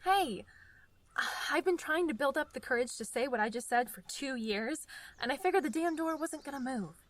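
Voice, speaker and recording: A woman's voice: high-pitched, lively intonation, normal speed. A young woman playing a blunt, sardonic, stubborn college girl in an audio drama, with a wry, teasing manner. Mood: earnest, wry. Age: young adult.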